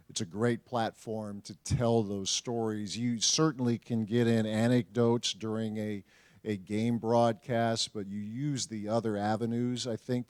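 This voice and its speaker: A man with a lowish voice talks off the cuff as a broadcaster on a panel. His phrasing is measured and a little halting. The sound is close and dry. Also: a little mellow, no room sound. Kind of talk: unscripted panel talk.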